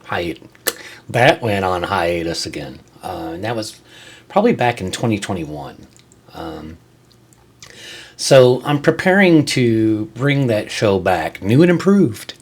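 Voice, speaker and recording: A man hosting his own creator show, talking to viewers in a casual, conversational way with frequent halting pauses. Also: fairly low pitch. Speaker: host of a creator video show, musician. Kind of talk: casual scripted-and-ad-libbed vlog to viewers.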